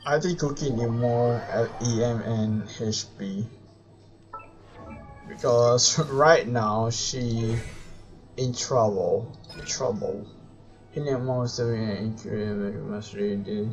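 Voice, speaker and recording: Man, live streamer, casual gameplay commentary, unhurried pace, faint game music behind. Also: medium pitch, low-quality recording. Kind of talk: casual gameplay commentary, reading dialogue and reacting.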